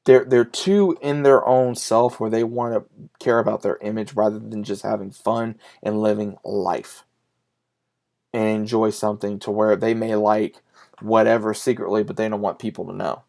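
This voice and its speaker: A man in his late twenties chatting casually and unscripted to his viewers, speaking earnestly and emphatically, with repeated phrasing and frequent pauses. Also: lowish voice, fairly even intonation, creaky, a little mellow. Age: late 20s. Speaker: YouTube vlogger and collector.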